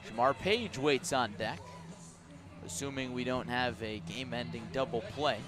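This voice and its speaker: A man doing live radio play-by-play of a baseball game, giving measured calls mixed with explanatory asides and dry understatement. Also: medium pitch, music in the background. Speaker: baseball play-by-play announcer for a team's radio broadcast. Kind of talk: live radio sports commentary. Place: outdoor ballpark at night.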